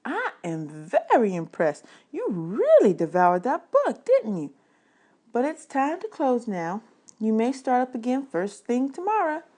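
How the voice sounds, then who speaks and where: A woman voicing a smiling, kindly librarian in a storybook, chatting to a boy in a gushing, encouraging, drawn-out way. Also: a little high, wildly swooping pitch, warm tone, voice pushed up, heavily acted. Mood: encouraging, fond.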